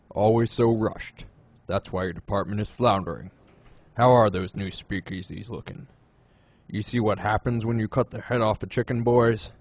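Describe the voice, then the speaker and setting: Man playing a low, suave gentleman gangster. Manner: unhurried, courtly, condescending. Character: suave, menacing gentleman crime boss. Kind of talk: crime audio drama acting.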